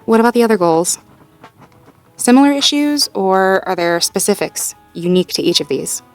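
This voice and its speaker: A woman playing a supportive, playful girlfriend in an intimate one-sided roleplay audio, talking gently and encouragingly with light teasing and natural hesitations. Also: medium pitch, lively intonation, normal speed, soft background music, lightly acted. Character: supportive, playful girlfriend helping partner problem-solve.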